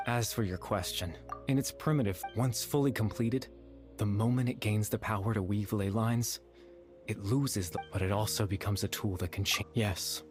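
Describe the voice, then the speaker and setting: Man playing a low-voiced, sorrowful young man over music. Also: normal speed, close to an everyday voice. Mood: solemn, weary. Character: gentle, sorrowful young man, remorseful and wistful. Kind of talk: dramatic video game dialogue.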